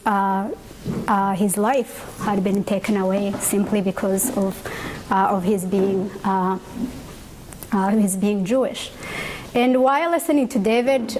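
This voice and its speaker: A young woman from Rwanda, a native Kinyarwanda speaker, gives a measured, earnest testimony in English and pauses often with "uh" and "um.". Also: medium pitch, darkish tone, slight background noise, low-quality recording. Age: young adult. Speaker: genocide survivor speaker. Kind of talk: personal testimony talk.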